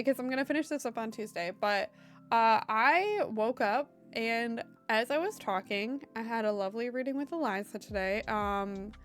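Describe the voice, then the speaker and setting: Woman, hoarse, fairly high voice, chatty live-stream host, rambling with lots of fillers, soft music in the background. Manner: chatty and meandering, heavy on fillers like "um" and "like". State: hoarse, voice intermittently giving out. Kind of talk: casual live-stream chat with viewers.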